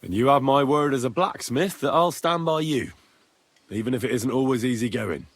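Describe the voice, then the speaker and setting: An actor playing a loyal young blacksmith turned page in a medieval video game, earnest and plain-spoken. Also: male voice, medium pitch, normal speed, breathy edge, dry recording. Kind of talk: video game cutscene acting.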